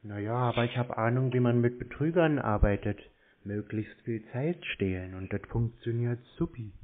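A man bantering casually on a phone call in a mocking, deadpan way. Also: medium pitch, moderate pace. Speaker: host of a scam-baiting show, prank caller. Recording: narrow tinny phone-line sound.

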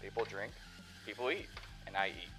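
Low-voiced cocky hacker boyfriend, acted by a man. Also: moderate pace, pushed and bright, faint music behind, low-quality recording. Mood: relaxed, teasing. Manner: casual, sarcastic, swearing. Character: protective, cocky young male cyberpunk hacker and boyfriend. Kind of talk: roleplay audio drama, close and hushed in places.

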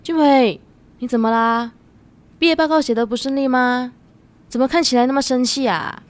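A woman's voice: sits high, steady tone, moderate pace, no room sound, lightly acted. A woman playing a chatty, devoted young girlfriend who is eager to please, in a radio drama. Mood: concerned.

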